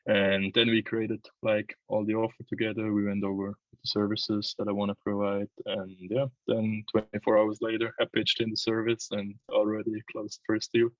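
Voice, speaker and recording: A man's voice: on the low side, monotone, normal speed, hardly any pauses, dry recording, highs cut off. A man in his early twenties from Switzerland, chatting casually as an interview guest about his own experience. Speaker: interview guest, videographer and agency owner. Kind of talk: casual chat, telling own story.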